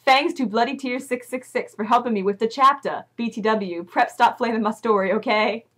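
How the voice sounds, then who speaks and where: A woman's voice: a little high, normal speed, a bit bright. A young woman reading amateur fiction aloud as comedy, playful and mock-serious. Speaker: amateur reader in a comedy video.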